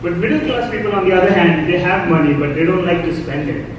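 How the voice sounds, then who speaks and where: Indian-accented man doing stand-up, high voice. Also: fast talker, distant mic, lo-fi sound, a crowd in the background. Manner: deadpan storytelling with acted quotes. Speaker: stand-up comic. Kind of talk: stand-up comedy set. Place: comedy club stage. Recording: echoey club, mic picked up from afar. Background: audience laughter.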